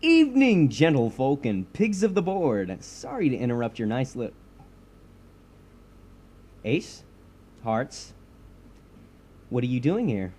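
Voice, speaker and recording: A man's voice: medium pitch, wildly swooping pitch, slow, pauses often, darkish tone, heavily acted. Showy, swooping, menacing supervillain acted by a man. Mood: mocking. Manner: sardonic, showy, threatening. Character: theatrical, distrustful, menacing supervillain, quick to violence. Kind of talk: audio drama villain acting. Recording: clear background noise and ambience.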